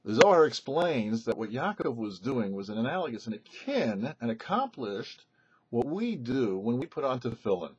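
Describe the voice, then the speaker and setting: A man, a rabbi, presenting religious commentary on Torah in a deliberate, explanatory way with emphatic phrasing. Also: medium pitch, big pitch swings, lo-fi sound, slight background noise. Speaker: rabbi presenting a weekly Torah portion show. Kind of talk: religious teaching, scripted-sounding Torah commentary.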